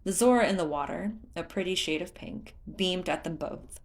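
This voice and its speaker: A woman reading a story aloud in an expressive, scripted narration style. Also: on the low side, normal speed. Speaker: fan fiction narrator.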